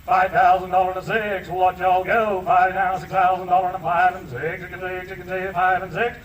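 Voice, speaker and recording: Auctioneer guy chanting numbers nonstop, high flat voice, roomy and far off, some noise. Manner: rapid rhythmic bid-calling chant. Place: outdoors at an estate auction. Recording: roomy, distant, light noise.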